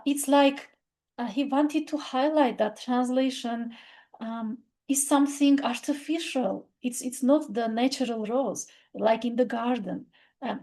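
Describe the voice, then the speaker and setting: A woman's voice: a little high, warm tone. Reflective woman, Armenian native speaker. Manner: halting, many fillers and restarts; reflective, thoughtful. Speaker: literature video presenter. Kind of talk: unscripted literary talk.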